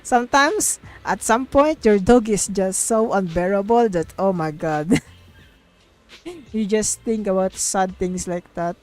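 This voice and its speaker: A woman's voice: medium pitch, measured pace, low-quality recording. A woman streaming a story game, casually reading the dialogue aloud and reacting with giggly, sweary exclamations. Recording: music playing behind the voice.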